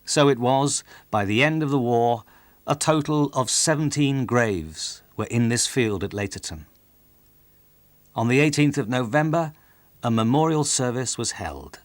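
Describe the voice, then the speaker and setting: A man's voice: medium pitch, animated, unhurried, no room sound. A man narrating a historical documentary from a script, as its presenter.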